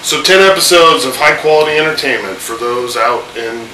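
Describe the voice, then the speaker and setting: A man's voice: medium pitch, brisk, pushed and bright, distant mic. Man, 30s, head cold, loose chatty host, echoey room, mic set back, AC hum. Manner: chatty, rambling with fillers. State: has a cold, voice a little off. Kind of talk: casual home video chat.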